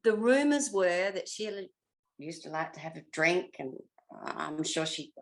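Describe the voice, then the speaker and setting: Older woman, Australian accent, slightly low pitch, hesitant, reminiscing, conversational interview guest. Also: lively intonation, unhurried, vocal fry. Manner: hesitant, searching her memory. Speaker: interviewed former actor.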